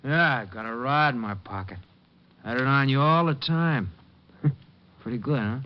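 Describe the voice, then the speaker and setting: An actor playing a dim, eager gangster sidekick in an old American radio crime drama, with slangy, ungrammatical speech. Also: male voice, medium pitch, normal speed, natural voice. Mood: dopey, pleased. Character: dim, pug-nosed, hungry gangster sidekick, eager to brag. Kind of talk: 1940s-50s radio crime drama acting. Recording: dull old-time radio recording.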